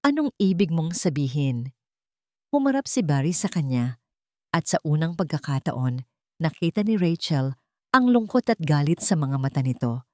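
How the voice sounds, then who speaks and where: A woman's voice: sits low, sing-song, normal speed, warm tone, dry recording, studio quality. A woman with a Filipino accent narrating a scripted dramatic story.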